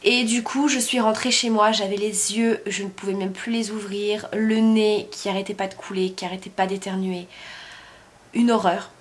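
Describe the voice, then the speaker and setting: A woman's voice: medium pitch, steady tone, moderate pace. A woman, chatting casually in a home vlog with a stuffy nose from a cold, hesitating often with fillers. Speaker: vlogger. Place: at home, sitting on her bed.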